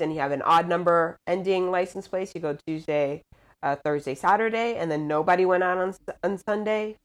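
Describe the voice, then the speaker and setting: A young woman from Puerto Rico, a podcast guest, chatting casually and hesitantly with many fillers. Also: on the low side. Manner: rambling, hesitant, full of "like" and "um". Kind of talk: casual chat over a call.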